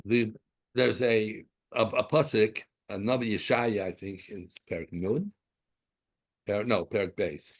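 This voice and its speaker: A man's voice: fairly low pitch, steady tone, unhurried. A man giving an informal Torah class, asking rhetorical questions and mixing in Hebrew terms as he drifts into side stories. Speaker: Torah teacher.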